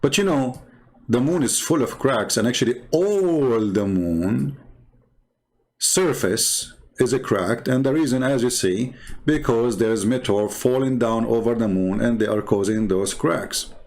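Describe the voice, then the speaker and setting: A man speaking English as a second language with a non-native accent, giving improvised commentary as a host, haltingly and repeating key words for emphasis. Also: medium pitch, expressive, darkish tone. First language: not English. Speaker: host and religious debater. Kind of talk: improvised commentary over visuals.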